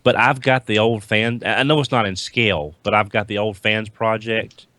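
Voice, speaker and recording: A man with a somewhat low voice chats casually on a podcast panel. He repeats and restarts phrases as he goes, in a dry, close recording. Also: fairly even intonation, normal speed, bright, forceful tone, no echo. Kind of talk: casual hobby chat.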